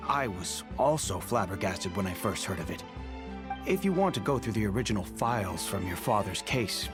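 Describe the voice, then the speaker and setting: Easygoing male guard for a game, actor: wry, breezy, very lively intonation, music clearly behind. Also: lowish voice, normal speed, close to an everyday voice. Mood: helpful, intrigued. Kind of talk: video game voice acting, dramatic dialogue.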